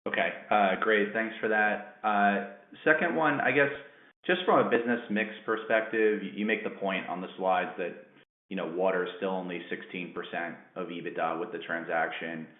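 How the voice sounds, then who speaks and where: A man's voice: low-pitched, moderate pace. A man calling in as a financial analyst, asking conversational business questions. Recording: roomy phone-like line.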